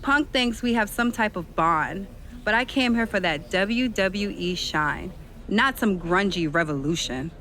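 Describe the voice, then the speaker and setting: A woman's voice: medium pitch, expressive, a little slow, a bit bright. Brash female wrestler, swaggering and combative, scripted game cutscene delivery. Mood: amused, dismissive. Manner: cocky, swaggering. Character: brash, cocky female pro wrestler spoiling for a fight.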